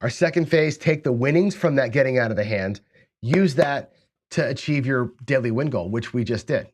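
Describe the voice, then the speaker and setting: A man talking through a table-game strategy while fighting a cold, his nose stuffy and his voice a little weak. He speaks at an ordinary middle pitch and keeps it conversational, tossing in quick "right?" check-ins as he walks through each step. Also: expressive. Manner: conversational, frequent tag questions "right?". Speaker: host of a casino gambling channel. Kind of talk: explanatory strategy tutorial.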